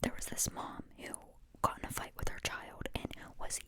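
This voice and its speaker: Woman, breathy whisper, ASMR style, reflective, earnest, informal, self-questioning. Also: normal speed. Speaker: host sharing reflections on a psychology book.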